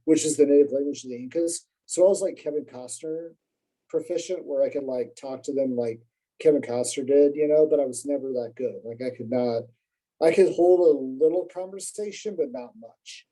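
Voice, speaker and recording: A man's voice: medium pitch, moderate pace, warm tone, low-quality recording. A man speaking as a podcast guest, telling long rambling stories in a casual, self-deprecating way with many fillers and restarts. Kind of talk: casual conversational storytelling.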